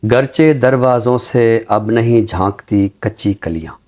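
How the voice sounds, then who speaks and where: A middle-aged Pakistani man speaking in a formal, deliberate way, as a literary commentator on a talk show. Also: medium pitch, a little mellow. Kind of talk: reflective commentary and poetry recitation on a literary show.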